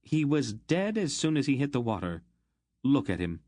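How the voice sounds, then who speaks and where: A man voicing an ancient, stern sorceress woman who speaks in a cold, clipped, scathing way, raised well above his own low voice. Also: big pitch swings, normal speed, a little mellow, dry recording, clean studio sound, close to an everyday voice. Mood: furious.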